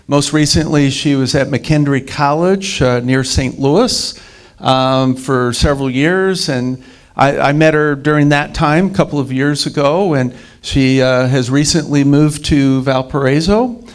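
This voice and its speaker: A man's voice: mid-range voice, a little slow. A man hosting a community event, introducing a guest speaker in a hesitant way, with frequent fillers. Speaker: event host and moderator.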